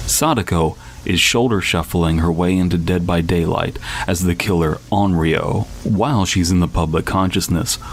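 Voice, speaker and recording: A man's voice: low-pitched, a little hiss or hum. A man reading scripted narration as the host of a podcast.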